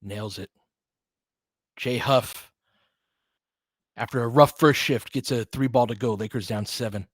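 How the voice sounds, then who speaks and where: A man's voice: medium pitch, measured pace, pauses often, husky, no echo. Man calling basketball casually, close dry sound. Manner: conversational, thinking aloud, slangy. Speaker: host and play-by-play commentator. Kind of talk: casual live basketball commentary and analysis, chatting with viewers.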